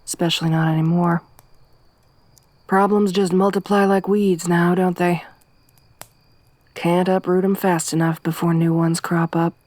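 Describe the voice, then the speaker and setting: Woman acting tough survivor girl, soft-spoken, gruff and teasing, flat delivery, lowish voice, ASMR roleplay. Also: a little slow, long pauses, no room sound. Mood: low-key, wry. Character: tough, wry young woman wasteland survivor.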